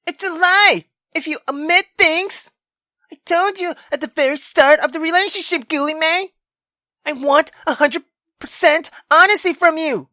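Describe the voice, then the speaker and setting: A woman voicing a furious, drunken, jealous wife in a visual novel, with a shrill, accusing and sarcastic manner. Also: unhurried, sharp tone, no room sound, heavily acted. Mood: furious, hurt.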